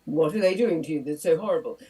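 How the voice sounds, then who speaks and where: A gallant young rescuer, as a woman puts on a man's voice, speaking low and calmly reassuring. His voice is a touch breathy and heard far off the mic. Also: fast.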